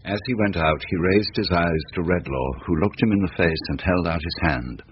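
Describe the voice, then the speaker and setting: An actor playing a grave, measured storyteller, reading scripted narration in a radio drama. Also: male voice, low-pitched, slight background noise, natural voice. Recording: dull lo-fi radio recording.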